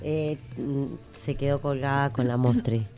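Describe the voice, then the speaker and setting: A woman's voice: extremely low pitch, steady tone, slow pace, warm tone. A woman with an Argentine accent chatting casually as a radio co-host. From small town in Argentina. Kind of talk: casual panel chat.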